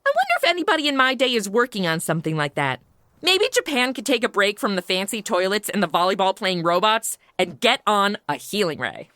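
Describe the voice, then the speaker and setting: Snarky young woman, lead comic role, bright and animated. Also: medium pitch, fairly quick, dry recording, studio quality. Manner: sarcastic, snarky asides. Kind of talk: comedy audio drama acting.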